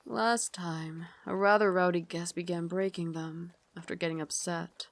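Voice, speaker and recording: Woman acting as a genteel victorian vampire lady, lowish voice, formal and breathy, asmr roleplay. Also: steady tone, moderate pace, natural voice. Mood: poised, wistful. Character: refined Victorian vampire lady, tender but tormented. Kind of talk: ASMR roleplay, dramatic monologue.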